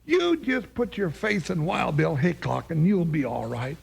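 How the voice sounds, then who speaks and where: An actor playing a kindly, folksy old cowboy sidekick with a cracking, wheezy voice in a 1950s Western radio drama. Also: male voice, a little high, very animated, measured pace, vocal fry, breathy edge, exaggerated voice. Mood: reassuring. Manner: folksy Western drawl, swooping, blustery.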